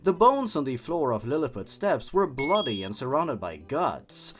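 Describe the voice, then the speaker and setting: Guy narrating a creepy story, super animated up and down, sarcastic exasperated host type, bit of music behind. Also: medium pitch, normal speed. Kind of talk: dramatic reading of a horror story with mocking asides.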